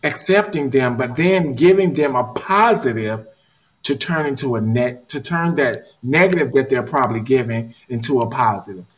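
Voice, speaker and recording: A man leading a casual motivational discussion, earnest and animated, often repeating words as he thinks. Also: medium pitch, normal speed.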